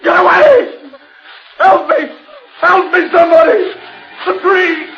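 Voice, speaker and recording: A man's voice: very high-pitched, takes it slowly, full character voice. Proper earnest man getting scared in old 1940s radio horror, melodramatic, dull muffled audio. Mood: terrified. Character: earnest male survivor, urging calm, growing fearful. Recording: muffled old radio broadcast, music behind.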